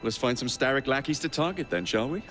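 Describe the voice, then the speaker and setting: A man's voice: mid-range voice, lively intonation, fast talker, fairly bright tone, soft background music, natural voice. An actor playing a cocky young male gang leader who speaks in a dry, teasing way, in a game cutscene. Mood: wry. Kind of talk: video game cutscene acting.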